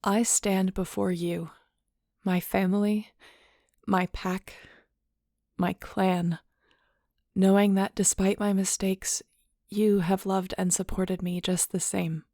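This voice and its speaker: A woman's voice: mid-range voice, level tone, slow, mellow, no echo. Woman acting as a nervous young shifter Alpha, close-up. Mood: solemn, heartfelt. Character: nervous young female werewolf shifter becoming clan Alpha, affectionate, teasing. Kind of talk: intimate audio roleplay acting.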